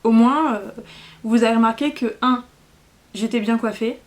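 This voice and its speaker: A woman with a fairly high voice talks casually to her viewers as a podcast host. Her delivery is loose and scattered, with a self-deprecating streak, as she searches for where she was going. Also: moderate pace. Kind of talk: casual rambling vlog talk to viewers.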